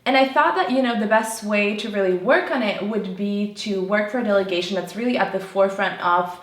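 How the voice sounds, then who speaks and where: A woman's voice: mid-range voice, fairly quick. Young woman chatting in echoey room. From Belgrade, Serbia. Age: 20s. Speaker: interview guest, university student. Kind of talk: casual conversational explanation. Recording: roomy, echoey sound.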